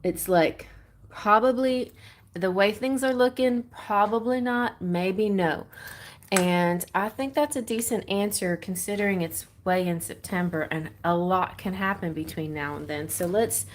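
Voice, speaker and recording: A woman speaks at an unhurried pace in a put-on Texas accent over noticeable background noise. She is hosting a live tarot reading, chatting with viewers in a playful, rambling way and thinking out loud as she goes. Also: mid-range voice. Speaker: tarot reader hosting a livestream.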